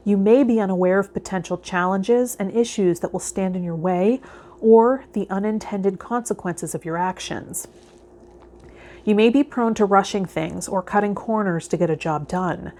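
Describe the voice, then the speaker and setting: A woman hosting a spiritual vlog, explaining in a measured, reflective, encouraging way, like a calm guide. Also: fairly low pitch. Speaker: host of a tarot vlog. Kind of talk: calm guided explanation, part read, part informal.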